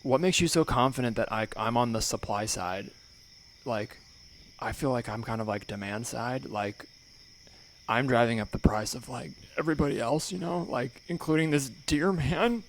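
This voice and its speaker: Man putting on a creaky, exasperated valley girl. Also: mid-range voice, moderate pace, lightly acted. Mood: hesitant, sceptical. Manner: exasperated valley-girl talk, dramatic and self-addressing. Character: self-critical, flighty young valley-girl woman named Clarissa. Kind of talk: improvised comedy podcast acting. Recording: faintly noisy remote podcast recording.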